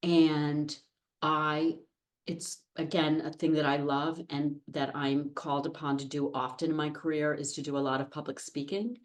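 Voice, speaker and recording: A woman's voice: low-pitched, flat delivery, moderate pace. An American woman in her fifties chatting as a podcast guest, telling anecdotes in a self-deprecating way. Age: 50s. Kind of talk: casual anecdotal interview conversation. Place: podcast studio.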